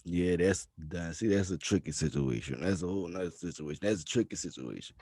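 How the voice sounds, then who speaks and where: A man's voice: deep voice, expressive, fairly quick, a little mellow. A man in his thirties hosting a podcast interview, talking in a laid-back, slangy way and giving advice like he's preaching. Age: 30s. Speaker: podcast host. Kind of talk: casual interview chat.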